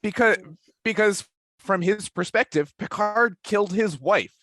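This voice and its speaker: A man's voice: high-pitched, a little slow. A man talking casually as a panelist on a discussion podcast, restarting his phrases as he goes.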